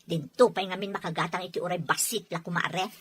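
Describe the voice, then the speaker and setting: A woman's voice: on the low side, fairly even intonation, quick pace, fairly bright tone, slightly rough. A woman playing a nagging, discontented wife who complains sharply in a radio drama. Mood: irritated. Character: nagging, discontented wife demanding household goods.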